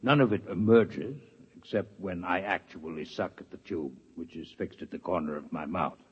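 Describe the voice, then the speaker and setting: A man playing a calm, formal male alien doctor from another planet in a 1950s radio drama, speaking with precise, unhurried diction. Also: sits low, husky. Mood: measured. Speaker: visiting alien scientist. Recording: dull lo-fi 1950s radio broadcast.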